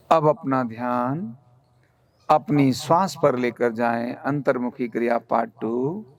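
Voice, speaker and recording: A man guides a relaxation meditation at an unhurried, very slow pace. His mid-pitched voice has a noticeable creak. He gives calm, soothing instructions as gentle, repeated commands.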